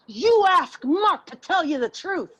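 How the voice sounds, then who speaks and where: A woman's voice: very high voice, sing-song, a little slow, fairly bright tone, full character voice. A woman playing a defiant, needling homeless woman in a dramatic play reading, confrontational and sardonic. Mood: furious, commanding.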